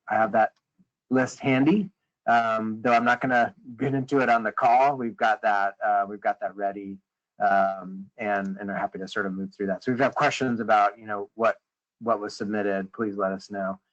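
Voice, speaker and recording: A man leading a conference call, briefing informally in a hesitant, halting way and often rephrasing mid-sentence. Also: fairly low pitch. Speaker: meeting leader on a conference call. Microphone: conference call line. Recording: dull, lo-fi conference call audio.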